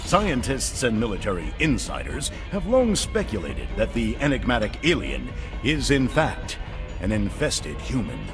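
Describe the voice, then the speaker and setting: An actor playing a pompous, sensationalist TV news anchor in a video game newscast, theatrical in delivery. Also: male voice, mid-range voice, huge pitch swings, normal speed, music in the background. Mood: pompous, dramatic.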